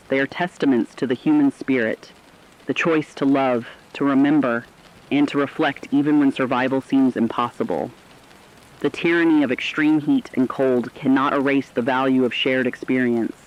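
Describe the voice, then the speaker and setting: Man acting as a solemn compassionate broadcaster, medium pitch, elegiac, earnest, end-of-the-world radio drama, dull recording. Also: close to an everyday voice. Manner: earnest, elegiac, carefully phrased delivery. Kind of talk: scripted apocalyptic radio drama monologue. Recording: dull, muffled audio.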